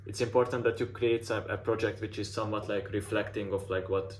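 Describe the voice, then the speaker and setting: Non-native English-speaking man, design mentor, low monotone delivery, filler-heavy casual advice, faint noise on the line. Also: brisk. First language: not English. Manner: frequent "like" fillers and restarts. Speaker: design mentor giving portfolio feedback. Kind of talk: casual feedback session.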